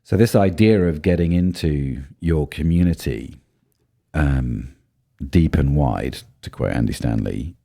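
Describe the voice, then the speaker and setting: A man co-hosting a podcast in casual chat, his deep baritone made rougher by a cold, thinking aloud with many ums and restarts. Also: a little slow, dark tone. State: voice low and rough from a cold spell. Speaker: podcast co-host.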